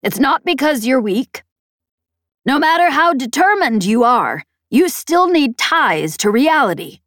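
A woman narrator plays a tough female pirate boss in an audiobook. She pitches high and commanding, pressing her case with a taunting, persuasive edge. The sound is close and dry. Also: sing-song, a little slow, a bit bright, dry recording, full character voice. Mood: firm, persuasive. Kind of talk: audiobook character dialogue.

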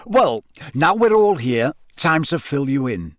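A man voicing a jovial middle-aged wizard official in an audiobook, with a bright, hearty manner. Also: on the high side, very animated, measured pace, dry recording, clean studio sound.

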